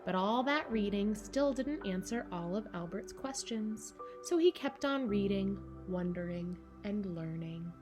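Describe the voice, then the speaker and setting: A woman reads a children's picture book aloud in a mid-pitched voice. Her phrasing is measured and deliberate, with pauses for drama, and music plays clearly behind her. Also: expressive. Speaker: storybook reader for children.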